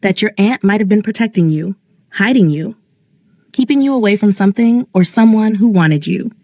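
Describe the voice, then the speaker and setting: A woman voicing a commanding male crime boss who speaks in a measured, controlled way. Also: mid-range voice, warm tone, dry recording, natural voice. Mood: quiet.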